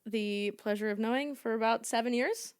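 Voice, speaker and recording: A woman's voice: fairly high pitch, moderate pace, clean tone. A woman in her twenties hosting a casual podcast chat, rambling and laughing often. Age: 20s. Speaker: podcast host.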